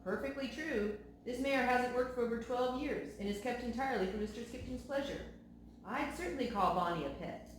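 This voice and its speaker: Woman as a calm country man, echoey. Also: a little high, a little fast, pitched above the performer's own voice, close to an everyday voice. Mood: assured. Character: calm, supportive male country vet narrator. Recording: very echoey room, faint noise.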